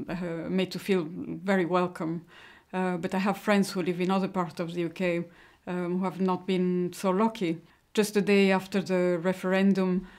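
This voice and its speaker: A middle-aged woman who grew up in Italy, giving a personal account in a hesitant, halting way. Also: fairly low pitch, darkish tone. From born in Mexico, grew up in Italy. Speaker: interviewee giving personal testimony.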